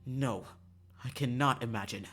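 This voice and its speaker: A man's voice: medium pitch, measured pace, sharp tone, soft background music. A man voicing a blunt, guarded young princess in a visual novel game, with a curt, cold and indignant manner.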